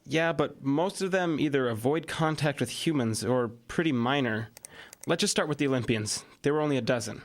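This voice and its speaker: A man's voice: normal speed, lightly acted. Man as thoughtful, matter-of-fact teenage boy. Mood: assured. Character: thoughtful teenage boy, newly priest to an oracle. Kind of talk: audio drama acting. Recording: clear outdoor ambience and vehicle rumble.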